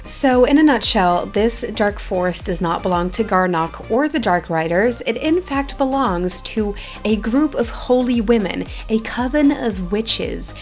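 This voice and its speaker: A woman's voice: mid-range voice, lively intonation, a little slow, hardly any pauses, soft background music. A woman hosting a game video, chatting casually and speaking directly to viewers as she plays. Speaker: gaming video host. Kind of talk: casual game playthrough commentary.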